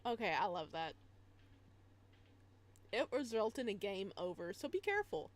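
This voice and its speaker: A woman's voice: mid-range voice, very animated, normal speed. A woman live-streaming a game in a casual style, reading on-screen text aloud and adding chatty asides.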